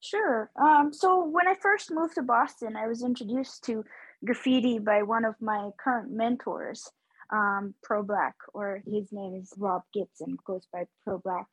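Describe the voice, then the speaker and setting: Husky-voiced woman from Nepal. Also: fairly high pitch, a little slow, dry recording, poor audio. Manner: thoughtful, frequent fillers, animated when excited. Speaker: muralist guest. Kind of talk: interview conversation.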